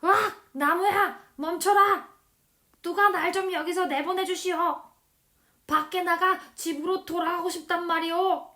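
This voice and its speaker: A woman voicing a greedy, blustering man in a children's storybook, with loud, exclamatory delivery. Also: very high-pitched, fairly even intonation, a little slow, pitched above the performer's own voice, heavily acted. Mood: panicked, shouting. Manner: loud, exclamatory, wailing pleas. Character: greedy, blustering man who panics and begs. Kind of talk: children's storybook character acting.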